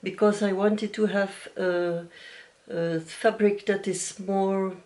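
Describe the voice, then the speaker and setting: Soft-spoken woman, slow and hesitant. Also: mid-range voice, fairly even intonation. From Belgium. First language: Dutch. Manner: gentle, hesitant, many fillers and small restarts, a little nervous. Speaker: knitting podcast host. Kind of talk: casual video podcast chat. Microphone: camera mic.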